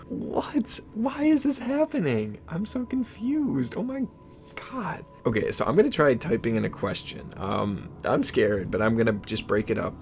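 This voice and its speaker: A man whose first language is Igbo, hosting a casual vlog in an excitable, swearing, often disbelieving way. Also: medium pitch, creaky, a little hiss or hum. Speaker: YouTube host.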